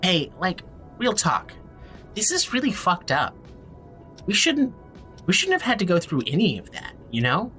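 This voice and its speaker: A man acting in a naturalistic audio drama as a tired, sardonic young fugitive who talks casually and haltingly. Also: high voice, huge pitch swings, pushed and bright, faint music behind, exaggerated voice. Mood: bitter, earnest.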